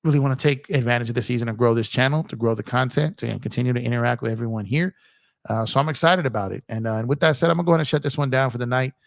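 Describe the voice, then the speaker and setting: A man hosting a casual basketball livestream, a little hoarse from losing his voice, chatty and rambling as he talks to his viewers. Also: medium pitch, racing, no echo, studio quality. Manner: chatty, rambling with restarts, speaks to chat viewers. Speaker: YouTube basketball streamer.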